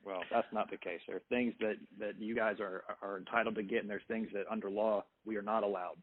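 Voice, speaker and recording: Male government lawyer, fairly deep, on a narrow phone connection, answering carefully and firmly. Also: level tone, fairly quick, no echo. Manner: hesitant, conciliatory but firm. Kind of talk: conference call discussion. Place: conference phone call. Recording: narrow, tinny phone line.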